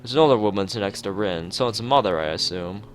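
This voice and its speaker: A man with a fairly low voice narrates a visual novel casually over faint game music. His pitch moves around in a lively way, and his asides ramble and halt as he comments on what he reads. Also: fast. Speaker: let's player reading a visual novel aloud. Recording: music behind the voice.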